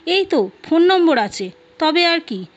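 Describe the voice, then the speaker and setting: A woman storyteller voicing a brisk, inquisitive young male accountant boss. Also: very high voice, big pitch swings, voice pushed up, heavily acted. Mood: decisive. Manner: questioning, brisk. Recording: dull lo-fi audio, music behind.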